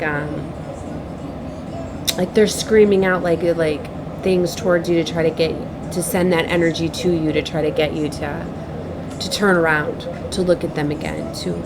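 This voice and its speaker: Low-voiced woman over noticeable background noise. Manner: halting, repeats herself, circles back. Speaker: online tarot reader. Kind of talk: improvised spiritual message to viewers.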